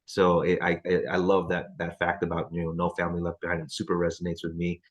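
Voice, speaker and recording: Thirties guy on a video call, real low flat voice, talks fast and rambly. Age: 30s. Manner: loose and chatty, many fillers and restarts. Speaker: team leader running a training call. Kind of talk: casual video call chat.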